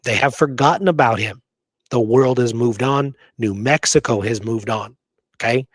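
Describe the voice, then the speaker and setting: A man's voice: mid-range voice, moderate pace, breathy edge, dry recording. A man co-hosting a casual history discussion, speaking conversationally and emphatically, often checking in with "right?". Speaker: podcast co-host. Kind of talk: casual history discussion with some reading aloud.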